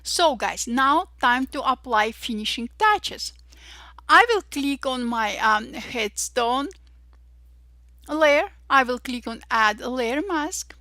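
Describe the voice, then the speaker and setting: Bright-voiced woman with Armenian-Russian accent. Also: high voice, animated, no echo. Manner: cheerful, says "voila" and "perfect" often, slow deliberate steps. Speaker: Photoshop tutorial instructor. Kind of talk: step-by-step software tutorial narration.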